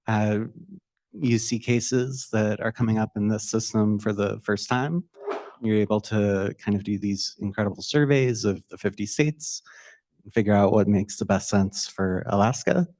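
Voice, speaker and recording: A man's voice: lowish voice, darkish tone, no room sound. A young man who grew up in the Middle East introducing himself earnestly as a law clerk. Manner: earnest, enthusiastic self-introduction.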